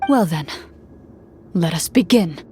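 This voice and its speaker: A woman voicing a serious young duelist who runs a role-playing game in a measured, formal way, in an anime video game. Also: on the low side, expressive, dark tone, a little hiss or hum. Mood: solemn narrating. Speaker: game master. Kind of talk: anime video game acting, solemn scripted narration.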